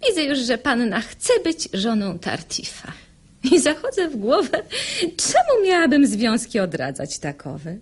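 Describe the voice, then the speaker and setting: A high-pitched, saucy maidservant. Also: female voice, wildly swooping pitch, a little slow, husky, heavily acted. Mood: sarcastic. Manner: sarcastic, theatrical, sharply articulated. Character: saucy, sharp-tongued young female maidservant, bold and mocking. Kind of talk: classical verse comedy, radio play acting.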